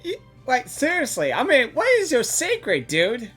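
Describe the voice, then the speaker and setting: A high-voiced woman hosts a game reaction in a slangy, excitable way, with big swings in pitch. She repeats words emphatically and talks straight at the characters, over faint music. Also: normal speed. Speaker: gaming reaction video host. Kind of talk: casual, excitable reaction commentary.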